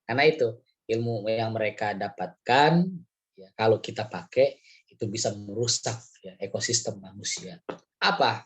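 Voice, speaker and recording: A man with a hoarse voice from a cold, giving a casual, rambling Islamic study talk with frequent filler words. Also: fairly low pitch, moderate pace. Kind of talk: casual religious lecture.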